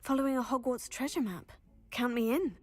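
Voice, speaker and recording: A woman playing a calm, helpful teenage student witch in a video game, with a composed, polite delivery. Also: a little high, brisk, soft background music, natural voice. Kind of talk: video game character dialogue.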